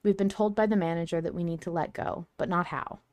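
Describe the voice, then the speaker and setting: Female fan-podcast host, slightly low register, effusive delivery, dry sound. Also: fairly even intonation, quick pace, darkish tone, dry recording. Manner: effusive, gushing praise. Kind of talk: casual fan podcast commentary.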